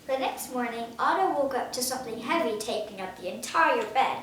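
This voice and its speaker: High-voiced young girl reading, very echoey. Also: animated, brisk. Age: child, ten years old. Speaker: story reader. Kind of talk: children's storybook read-aloud. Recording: very echoey room, distant mic, faint noise.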